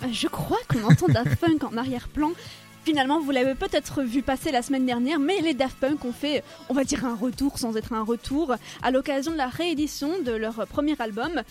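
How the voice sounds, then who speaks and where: A woman's voice: sits high, fairly quick, darkish tone, soft background music. A woman co-hosting a radio show in the studio, reading news items in a light, chatty way. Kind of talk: news segment and studio chat. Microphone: studio microphone.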